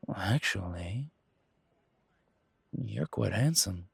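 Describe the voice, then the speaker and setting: Man playing a purring, breathy, flamboyant flirt. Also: fairly low pitch, lively intonation, dry recording, exaggerated voice. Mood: flirtatious, amused. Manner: purring, theatrical, teasing, drawn-out words. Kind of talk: roleplay audio drama.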